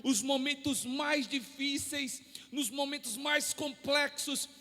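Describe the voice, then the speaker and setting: A Brazilian pastor in his forties, preaching a sermon to his church with impassioned, drawn-out emphatic phrases. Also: extremely high pitch, bright, forceful tone, faint music behind. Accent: Brazilian Portuguese. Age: 40s. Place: church hall. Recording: long hall reverb.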